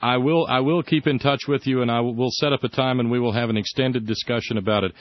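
A male radio talk show host speaks in a measured, earnest way. His middle-pitched voice comes through with a dull, lo-fi studio sound. The room sounds dry and close. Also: steady tone, no room sound. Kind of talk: radio interview.

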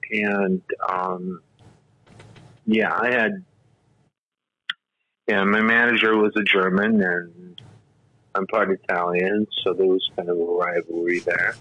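A man's voice: deep voice, slow, gravelly, pauses often, sharp tone, husky, no echo. A man in his sixties, a radio talk show host, telling stories in a rambling, casual way full of fillers and restarts. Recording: dull, lo-fi radio audio.

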